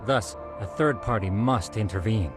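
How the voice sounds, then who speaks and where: A man's voice: on the low side, moderate pace, lightly acted. An actor playing a polite, bookish young man who speaks formally and hesitantly in a video game drama. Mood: tentative, earnest. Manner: formal, hesitant, textbook phrasing. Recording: music behind the voice.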